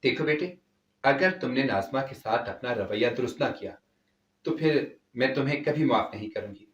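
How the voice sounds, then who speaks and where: A man's voice: mid-range voice, expressive, moderate pace, close to an everyday voice. A man voicing a stern, admonishing mother who pressures her son in a dramatic story. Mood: stern warning. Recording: echoey, distant from the mic.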